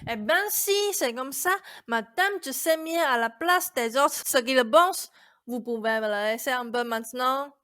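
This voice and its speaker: A woman's voice: high-pitched, unhurried, sharp tone, smooth. A woman acting as a sullen, skeptical young heroine in a fairy-tale radio play, dry, stubborn and guarded. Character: sullen, skeptical young Cinderella who challenges her fairy.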